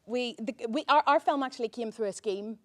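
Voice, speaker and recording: A woman's voice: fairly high pitch, a little fast, fairly bright tone. A woman with an Irish accent, a screenwriter on a festival panel, speaking hesitantly with many ums and restarts. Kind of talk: panel discussion.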